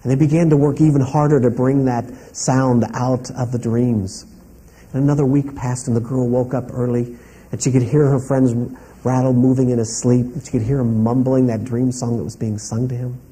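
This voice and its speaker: A man telling a story aloud in a calm, rhythmic way, linking each step with "and". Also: mid-range voice, moderate pace, slightly rough, low-quality recording. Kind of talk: oral storytelling.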